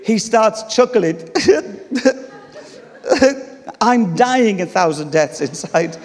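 A man with an English accent preaching a sermon, telling a funny story with laughs before turning to teaching. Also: high voice. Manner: humorous, animated storytelling with laughter. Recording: long reverb of a large hall.

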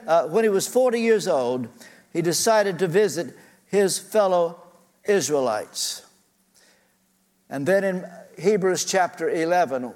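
Older male pastor, slightly high voice, earnest storytelling sermon, long church reverb. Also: a little mellow. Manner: earnest, personal, shifting pace with frequent uh fillers.

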